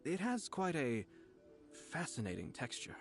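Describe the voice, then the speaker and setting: A man voicing a refined, composed young nobleman with a quietly mischievous streak, speaking politely and formally in an anime game. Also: on the high side, huge pitch swings, a little slow, creaky, music in the background, heavily acted. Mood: composed, reflective.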